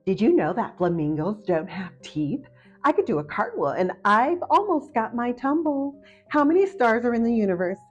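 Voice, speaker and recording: A woman's voice: fairly high pitch, normal speed. A woman voicing an eager, talkative young schoolgirl who blurts out facts in a children's picture book read-aloud. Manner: excitable, blurting, hesitant ums. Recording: muffled audio with faint music behind.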